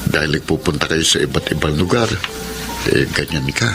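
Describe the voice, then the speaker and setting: Earnest elder brother, very deep voice. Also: male voice, expressive, fairly quick, music in the background. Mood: shy, admiring. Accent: Filipino. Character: kind, earnest elder brother, smitten with social worker. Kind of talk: Filipino radio drama acting.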